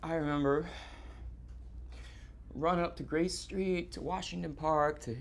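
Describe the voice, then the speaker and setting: An actor playing a distraught, guilt-ridden man on stage, confessing in halting, repetitive, stammered phrases in a live theatre monologue. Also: a little high, fairly even intonation, creaky, a little hiss or hum. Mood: subdued, wistful. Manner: halting, stammering, repeating himself.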